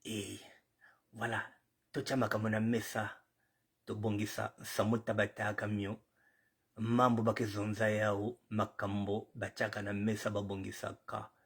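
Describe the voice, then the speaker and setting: A man's voice: on the low side, monotone, a little slow, gravelly, breathy edge. A man with a cold hosting a live commentary show, greeting viewers formally and repeating himself, with Lingala phrases mixed in. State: has a cold. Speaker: online show host.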